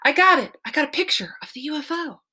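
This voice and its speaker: A woman voicing an excitable, competitive, space-mad boy in a children's storybook, eager and exclamatory. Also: high-pitched, fast talker, heavily acted. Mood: excited.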